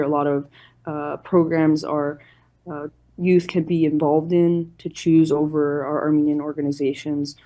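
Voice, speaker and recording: A woman's voice: sits low, dark tone. A woman answering interview questions as an organization's representative in an earnest, explanatory, formal way. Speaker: youth organization chairperson, interview guest.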